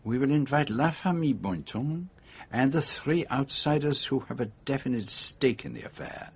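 An actor playing a courteous, self-assured male detective in a radio drama, speaking formally and deliberately in precise phrases. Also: mid-range voice, vocal fry, breathy edge. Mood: courteous, measured.

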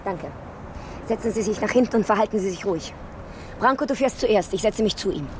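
An actress playing a calm, commanding female kidnapper in a radio play, giving orders to a hostage. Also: medium pitch, brisk, background noise, lightly acted. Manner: cool, commanding.

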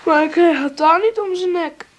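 Radio drama casting: small boy, high voice, plain-spoken, earnest and caring. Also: normal speed. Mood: sleepy, earnest. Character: young boy, matter-of-fact, caring toward his mother. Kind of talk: Dutch radio play acting.

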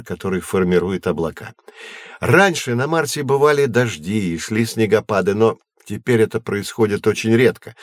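An actor playing a patient, knowledgeable teacher in an educational audio drama, explaining in measured, clear phrases. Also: male voice, on the low side, no room sound, clean studio sound, close to an everyday voice. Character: patient, knowledgeable male astronomy teacher guiding children.

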